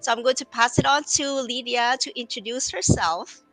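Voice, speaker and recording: A woman with a Vietnamese accent hosting a casual online business talk, relaxed and full of fillers. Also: a little high, normal speed, no echo, faint music behind, poor audio. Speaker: host.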